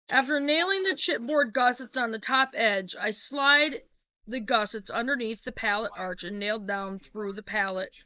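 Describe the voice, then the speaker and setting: Bright-voiced woman reading a how-to. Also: fairly high pitch, no room sound. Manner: plain, practical, with small self-corrections. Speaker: homesteading vlogger. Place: small wood-paneled room indoors. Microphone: laptop webcam. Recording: dull, lo-fi webcam sound.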